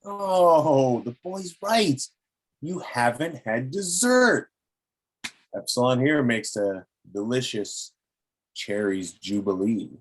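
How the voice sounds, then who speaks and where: A man playing a menacing, obsessive old explorer in a table read, theatrical and deliberate, with a husky voice. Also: mid-range voice, big pitch swings. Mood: insistent, menacing.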